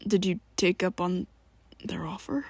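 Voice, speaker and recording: A woman in an ASMR roleplay, talking to the listener as a worried best friend, halting and rambling, often sinking into a soft whisper close to the mic. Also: lowish voice, fairly even intonation, creaky, husky, no room sound. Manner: hesitant and rambling, halting restarts.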